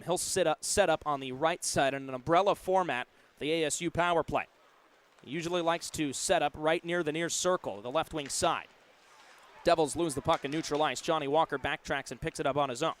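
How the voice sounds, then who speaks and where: A young man calling live sports play-by-play for radio in crisp, clipped announcer phrasing. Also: a little high, fairly bright tone. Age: young adult. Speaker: play-by-play broadcaster. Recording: live sports broadcast with venue background noise.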